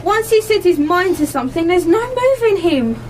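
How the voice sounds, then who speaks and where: A fond, earnest lady dog owner played by a woman in a radio play. The voice is extremely high and lively, with light noise on the live recording. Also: normal speed. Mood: concerned. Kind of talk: amateur radio play acting. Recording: noisy live amateur radio broadcast recording.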